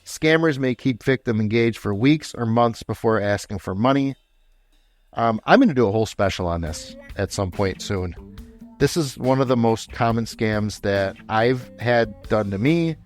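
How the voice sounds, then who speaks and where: A man hosting a podcast, giving informal, conversational commentary and reading some material aloud. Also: mid-range voice. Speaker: podcast host. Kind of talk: casual podcast commentary on scam stories, partly read aloud.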